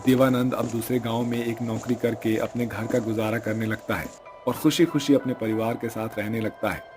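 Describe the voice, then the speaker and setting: A man's voice: medium pitch, steady tone, moderate pace, over music. A man giving scripted narration for a cartoon story.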